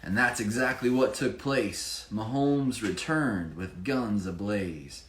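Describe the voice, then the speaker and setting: A man reading his own children's picture book with expressive emphasis, pausing to explain it casually to a child. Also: fairly low pitch. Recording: echoey room with faint background noise.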